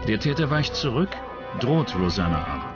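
A man's voice: fairly low pitch, sing-song, moderate pace, dull audio. A man reading scripted true-crime documentary narration as a voice-over. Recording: voice-over with prominent music. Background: music.

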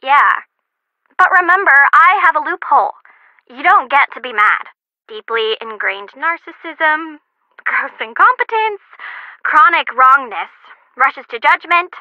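Snarky young female student, game dialogue. Very animated, grudging and dramatic, slightly dull phone-filtered sound. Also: sits high, unhurried, no echo. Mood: resentful, mocking.

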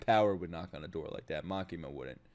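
A young man giving casual, excitable commentary as he reacts to an anime, swearing freely and breaking into shouts and laughter. He talks into a boom-mounted desk mic at home. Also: quick pace, heavy background noise. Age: young adult. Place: home streaming room. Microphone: boom-mounted desk mic, wearing headphones.

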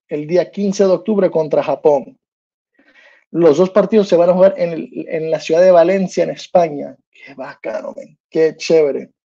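A man's voice: a little high, vocal fry, dark tone, muffled recording. A man in his thirties from New Jersey, hosting a solo sports podcast in a loose, chatty way and slipping English slang into his talk. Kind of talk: casual solo sports commentary. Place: small room indoors.

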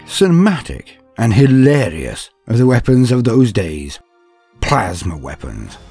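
A man's voice: mid-range voice, mellow, breathy edge. A man narrating scripted fiction and lore in a theatrical, grandiose storyteller manner.

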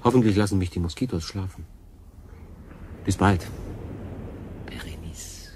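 An actor playing a weary, pensive but determined stranded seafarer in a radio drama, speaking reflectively and deliberately. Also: male voice, low voice. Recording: radio play with clear background noise.

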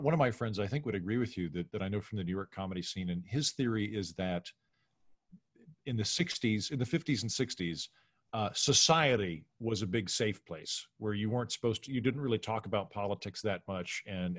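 A man's voice: lowish voice, expressive, a little fast, a bit bright, no room sound, clean studio sound. A middle-aged man speaking casually as a podcast guest, in a discursive, analytical way. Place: small furnished room at home, video call.

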